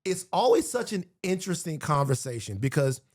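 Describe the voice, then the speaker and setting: A man's voice: on the high side, very animated, a little fast, darkish tone. A man speaking as a guest in a casual political conversation, emphatic and building his points through repetition.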